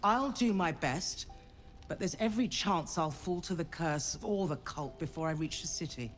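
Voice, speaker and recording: A man's voice: a little high, normal speed, big-room sound, faint music behind, close to an everyday voice. A man voicing an earnest military counsellor in a fantasy video game, formal and resolute. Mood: grave, resolute. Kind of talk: dramatic video game acting.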